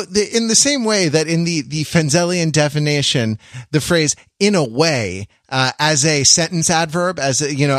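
A man hosting a podcast in casual analytical chat, wordy and wry, halting and circling back as he thinks. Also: a little high, fairly bright tone, no room sound, studio quality. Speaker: podcast host.

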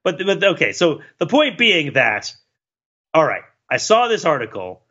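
A man co-hosting a podcast in casual chat, talking in a rambling, animated, wryly self-deprecating way. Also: a little high, unhurried, breathy edge, lo-fi sound. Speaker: podcast co-host.

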